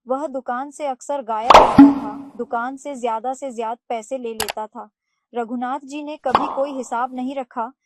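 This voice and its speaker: High-voiced woman narrating a cartoon. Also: no room sound. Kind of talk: scripted storybook narration.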